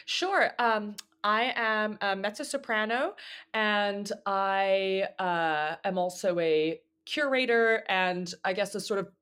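A woman's voice: mid-range voice, slow, a bit bright, smooth. A woman from Hong Kong, a mezzo-soprano guest, talking in a thoughtful, casual way with frequent fillers and restarts. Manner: thoughtful, many fillers and restarts, laughs easily. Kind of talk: casual interview chat.

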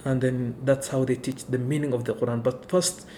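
A man's voice: medium pitch, flat delivery, a little fast, mellow, a little hiss or hum. A man from Kenya whose first language is Somali, talking unhurriedly in a casual interview and leaning on "you know" and "right". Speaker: interview guest. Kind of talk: casual conversation.

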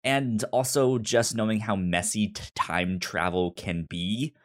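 A man's voice: fairly low pitch, fairly even intonation, unhurried. A man hosting a casual podcast discussion, stammering and often repeating sounds and words as he talks. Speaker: podcast host.

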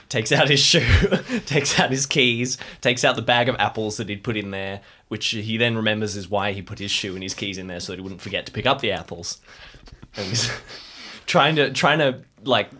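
A man's voice: fairly low pitch, bright, forceful tone. A man casually narrating his character's actions as a player in an improvised audio drama.

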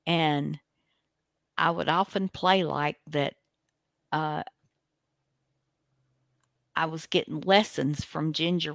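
Slow-talking woman host, creaky voice. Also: low-pitched, fairly bright tone, dry recording, clean studio sound. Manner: folksy, chatty. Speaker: radio show host. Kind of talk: casual rambling show introduction.

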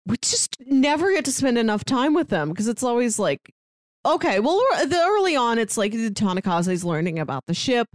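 A woman's voice: on the high side, big pitch swings, moderate pace, no room sound, studio quality. A woman co-hosting a manga discussion podcast, talking casually and slipping into little mock dialogues to make her points. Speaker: podcast co-host reviewing manga.

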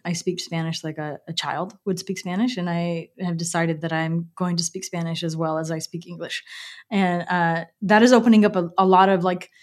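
A woman with a slightly low voice speaks as a podcast guest. She is candid and playful, talking in a loose, conversational way. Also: fairly even intonation, moderate pace. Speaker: podcast guest, company co-founder. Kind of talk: casual chat.

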